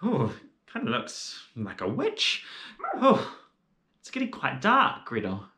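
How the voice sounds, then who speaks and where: A man's voice: medium pitch, huge pitch swings, slow, crowd noise. A young man playing an eager, naive, easily spooked little boy in a children's fairy tale, with a theatrical, wide-eyed manner. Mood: nervous. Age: young adult. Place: living room. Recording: echoey indoor room.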